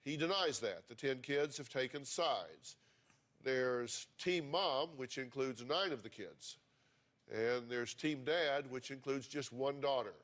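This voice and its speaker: A man's voice: medium pitch, takes it slowly, a bit bright. Dry-humored man hosting a talk show. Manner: dry joking, steering a heated family dispute.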